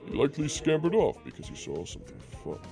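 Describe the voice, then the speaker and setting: A man voicing a courteous, proud, centuries-old dragon in a fantasy audio drama, speaking formally and in a measured way. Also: on the high side, huge pitch swings, gravelly, dark tone, full character voice. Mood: courteous, composed. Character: ancient male dragon, four hundred years old, courteous, proud. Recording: music playing behind.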